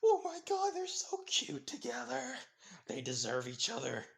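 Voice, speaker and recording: A man voicing an excitable, panicky teenage girl who stammers in a high, frantic voice. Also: moderate pace, close to an everyday voice. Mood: squealing.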